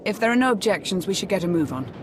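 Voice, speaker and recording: An actress playing a loyal, businesslike military adviser in a video game. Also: female voice, mid-range voice, lively intonation, very fast, noisy background, natural voice. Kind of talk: cinematic video game dialogue. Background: music.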